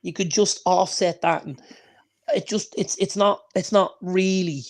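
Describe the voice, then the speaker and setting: A man's voice: high voice, fairly even intonation, a little slow. A man co-hosting a casual sports podcast, enthusiastic and emphatic, repeating phrases for stress. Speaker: podcast co-host. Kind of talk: casual chat.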